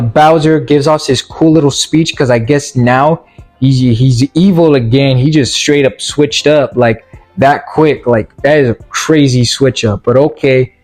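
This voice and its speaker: A man giving a casual, rambling film review in slangy, informal language, halting and repeating words, with sarcastic asides. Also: fairly high pitch, darkish tone. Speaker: movie reviewer.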